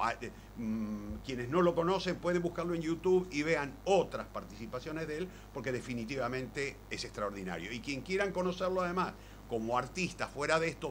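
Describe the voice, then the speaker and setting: A man with an Argentine accent giving an informal lecture in a halting, rambling way with repeated words and pauses. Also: medium pitch, a bit bright, slight background noise.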